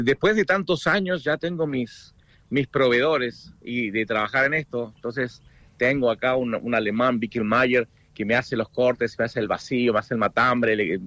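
An Argentine man with an Argentine Spanish accent, a guest talking casually over a video call, halting with many restarts and repeated words. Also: mid-range voice, a bit bright, dry recording. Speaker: guest, food business owner in the US. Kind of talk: casual interview chat.

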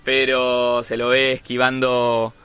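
A man with an Argentine accent hosting a casual sports chat, chatty and quick to agree. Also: mid-range voice, completely flat delivery, bright, forceful tone. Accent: Argentine Spanish. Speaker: host.

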